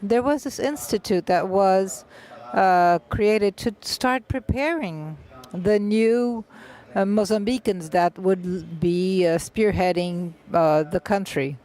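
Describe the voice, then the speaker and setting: A live interpreter carries a festival panel discussion into English. The delivery comes in short, halting phrases broken by frequent filled pauses and self-corrections. The pace speeds up and slows down unevenly, and the voice sits at a middle pitch. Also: darkish tone.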